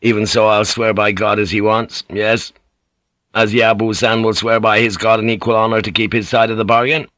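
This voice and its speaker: A man voicing an exhausted English sailor turned samurai, speaking slowly and wearily. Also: fairly low pitch, flat delivery, a bit bright, no echo, close to an everyday voice. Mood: weary, polite. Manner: slow and weary.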